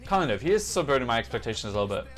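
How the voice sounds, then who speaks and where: A man's voice: medium pitch, very animated, rapid-fire. A man giving casual, off-the-cuff reaction commentary in a chatty, opinionated way, thinking aloud as he goes. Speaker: host of a music reaction show. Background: songs from music videos being reviewed.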